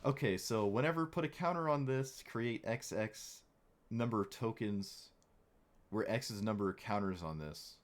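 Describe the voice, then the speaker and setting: Man, young adult, streamer, wry and chatty, a bit hoarse, lowish voice. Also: moderate pace. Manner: chatty, wry, playfully mock-dramatic. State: slightly hoarse. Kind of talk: casual gameplay commentary.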